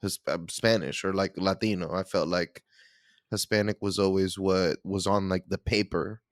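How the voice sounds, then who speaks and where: A man's voice: sits low, fairly even intonation, moderate pace, dry recording, clean studio sound. A man chatting casually as a panelist on a podcast. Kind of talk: casual chat.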